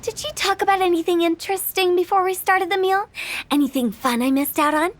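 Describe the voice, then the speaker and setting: A woman voicing a cheerful young female host in a video game, girlish, courteous and measured. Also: very high voice. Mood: curious.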